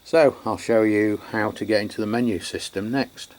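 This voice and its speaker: An Englishman giving an unscripted product review and demonstration, chatty and matter-of-fact, with a halting delivery. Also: on the low side, fairly even intonation, slight background noise. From England. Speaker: radio reviewer.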